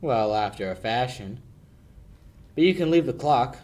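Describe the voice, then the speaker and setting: Teenage actor as young male sleuth, middle-pitched, confident, needling, wry, old-time radio detective play. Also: lively intonation, unhurried, darkish tone, lightly acted. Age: young adult. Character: cocky, wisecracking young male amateur sleuth. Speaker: amateur high school actor. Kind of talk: 1940s radio detective drama acting.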